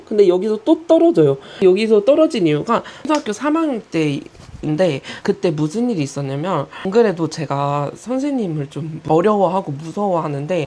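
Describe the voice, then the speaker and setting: A woman's voice: on the low side, dark tone. A woman in her twenties chattily and candidly telling stories from her own childhood, with quoted asides. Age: 20s. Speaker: YouTuber. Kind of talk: casual storytelling about her own life.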